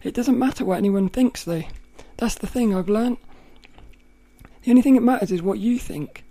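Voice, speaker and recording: A woman with a rough, cracking voice, hosting a podcast and thinking aloud in a rambling way, often laughing. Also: medium pitch, moderate pace, warm tone, no echo. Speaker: podcast host. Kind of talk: casual reflective monologue.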